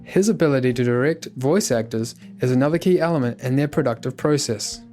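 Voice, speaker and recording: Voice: mid-range voice, fairly quick, dark tone. A documentary narrator reads a script in a polished, explanatory manner. Faint music plays behind the voice.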